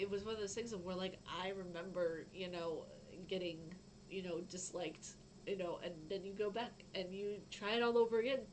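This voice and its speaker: A young woman with a fairly low voice, chatting casually on a gaming news stream and reading out news, rambling with lots of fillers. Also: a little hiss or hum, rough recording. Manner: chatty, rambling, frequent fillers and self-interruptions. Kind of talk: casual live-stream chat.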